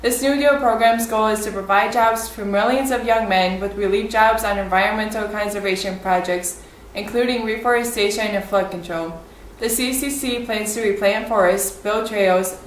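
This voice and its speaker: A woman delivering a scripted report as a reporter in a mock 1930s newscast. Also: medium pitch. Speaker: news reporter. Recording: echoey room, off-mic, faint noise.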